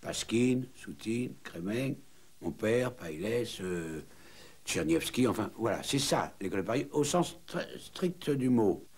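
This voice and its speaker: A man's voice: on the low side, sing-song, a little slow, husky. A mature man explaining in a documentary interview, didactic, listing points and letting his phrases drop at the end. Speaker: painter's son interviewed in a documentary.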